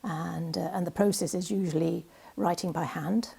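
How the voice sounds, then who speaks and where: A woman's voice: on the low side, fairly even intonation, moderate pace, gravelly, mellow, breathy edge. A woman poet being interviewed, talking in a hesitant way with many fillers and restarts. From Wales. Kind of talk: casual interview chat.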